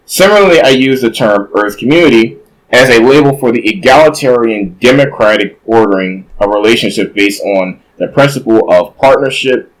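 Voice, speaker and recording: A man with a fairly low voice reads a nonfiction book aloud at a measured pace. Now and then he stumbles on a word and goes back to fix it. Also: low-quality recording. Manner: measured reading with occasional self-corrections. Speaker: streamer reading a book aloud.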